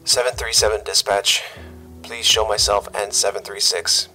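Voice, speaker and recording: A man's voice: fairly low pitch, steady tone, normal speed, sharp tone, faint music behind, rough recording. A man acting a stoic, grieving, stubborn young police officer in an audio drama, subdued and terse. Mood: resigned.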